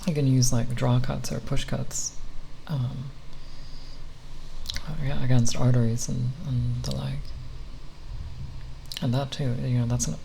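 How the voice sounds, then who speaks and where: Slow, low-key ASMR rambler with creaky voice. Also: level tone, mellow, rough recording. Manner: halting, nerdy, hesitant with long pauses. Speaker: ASMR content creator. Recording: faint background noise.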